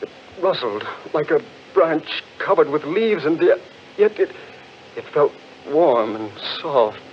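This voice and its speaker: A male actor playing an excitable gentleman quick to panic, with theatrical, stammering delivery in 1940s radio horror style. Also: fairly high pitch. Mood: shaken. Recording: muffled, hissy 1940s radio recording.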